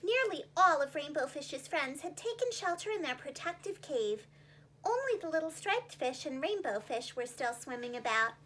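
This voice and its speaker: A woman telling a children's story in an animated, expressive teacher's style. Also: high-pitched, moderate pace.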